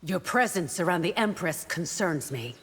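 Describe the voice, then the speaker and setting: A woman plays a dutiful guardian warrior in a fighting game. The voice is fairly low, formal and guarded, carried in long reverb with loud noise behind. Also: lively intonation, normal speed, lightly acted. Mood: wary, formal. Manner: formal, guarded, matter-of-fact. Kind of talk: video game character acting.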